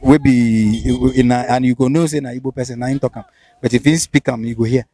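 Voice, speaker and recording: A man's voice: mid-range voice, fairly even intonation, a little fast, dark tone, dry recording. A man with a Nigerian Pidgin accent interviewing someone, asking probing questions and repeating them. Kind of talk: casual street interview.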